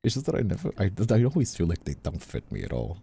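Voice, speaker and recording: A man's voice: deep voice, wildly swooping pitch, fast, vocal fry, mellow, breathy edge, faint music behind. A man who streams and voice acts, chatting casually and bluntly, giving opinions and swearing freely. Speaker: streamer and voice actor.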